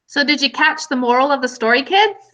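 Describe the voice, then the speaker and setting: A woman's voice: high-pitched, lively intonation, fairly quick, sharp tone. A woman teacher hosting and narrating a scripted storybook tale for children. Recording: dull lo-fi recording.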